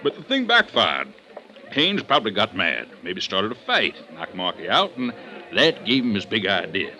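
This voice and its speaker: A man's voice: lowish voice, huge pitch swings, normal speed, bright, forceful tone, full character voice. An actor playing a cocky, stubborn male police investigator in an old-time radio drama, talking in a sarcastic, emphatic, colloquial way. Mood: confident, insistent.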